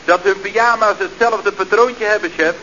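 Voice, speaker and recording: A man's voice: high-pitched, normal speed, pushed and bright. An actor playing a chatty, slangy youth-club leader who reports deferentially and glibly to his boss in a radio comedy. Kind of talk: Dutch radio comedy acting. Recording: dull, noisy old radio recording.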